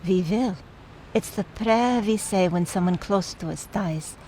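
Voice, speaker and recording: A woman's voice: medium pitch, a little slow, dark tone, slight background noise, lightly acted, low-quality recording. A woman playing a fond, opinionated, wry older aunt in mourning, in radio play dialogue. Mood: wry, nostalgic.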